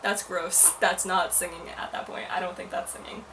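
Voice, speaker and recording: A woman's voice: on the low side, little pitch movement, normal speed, recorded from a distance, loud music behind the voice. A young woman talking casually and confessionally to camera, in a hesitant, self-deprecating, informal way. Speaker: vlogger.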